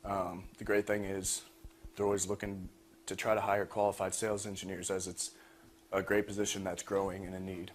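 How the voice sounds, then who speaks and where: A young man with a fairly low voice gives an informal student presentation about his own work. The recording carries a little background noise. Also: monotone, a little fast. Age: young adult. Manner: frequent throat clearing. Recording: noisy room recording.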